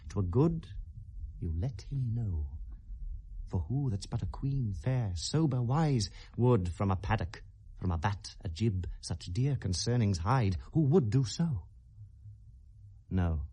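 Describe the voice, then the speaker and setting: An actor playing an anguished, accusing young prince in 1970s British classical radio drama, declaiming verse in a Received Pronunciation accent with feverish intensity. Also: male voice, on the low side, expressive, slow, warm tone, husky, exaggerated voice. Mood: bitterly sardonic. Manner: declamatory, impassioned, theatrical.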